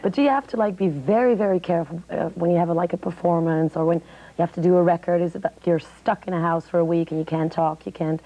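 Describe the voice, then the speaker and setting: A woman's voice: fairly low pitch, fairly even intonation, fast, warm tone, poor audio. A woman interviewer chatting casually, asking questions in an informal, agreeable, chatty way.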